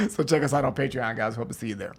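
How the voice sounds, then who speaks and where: A man in his forties with a hoarse, raspy voice, co-hosting a music reaction show in casual, laid-back chat at a desk mic. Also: mid-range voice, sing-song, fast talker, slight background noise. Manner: laid-back, laughs often. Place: dark home studio with city backdrop. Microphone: desk mic on boom arm.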